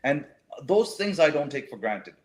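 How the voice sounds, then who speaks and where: A middle-aged man raised in the Middle East, speaking as a talk show guest in a thoughtful, measured way with frequent fillers and restarts. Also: on the high side, very animated. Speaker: guest, writer and educator. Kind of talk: reflective talk show conversation.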